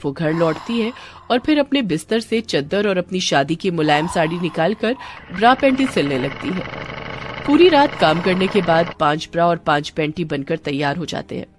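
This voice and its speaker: A woman's voice: lowish voice, expressive, moderate pace, background noise. A woman narrating a scripted story as a storyteller, with music behind her.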